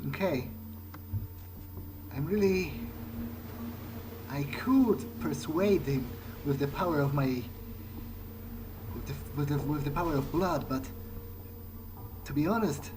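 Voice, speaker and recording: A man roleplaying in character through a video game, thinking aloud in a halting, hesitant monologue. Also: on the high side, background noise.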